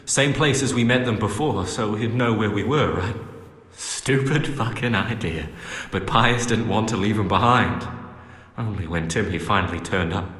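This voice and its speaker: An actor playing a coarse, foul-mouthed young bandit in a video game, speaking bluntly. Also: male voice, fairly low pitch, moderate pace, a bit bright, natural voice. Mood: rueful recounting. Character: rough, foul-mouthed young bandit recounting a botched getaway. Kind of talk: video game cutscene acting. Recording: roomy, reverberant, faint music behind.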